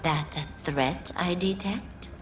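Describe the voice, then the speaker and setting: A woman playing a shrewd older Japanese underworld fixer in a video game, sly and mock-courteous, with sardonic, deliberate delivery. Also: on the low side, noisy background. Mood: wary. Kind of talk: dramatic game dialogue.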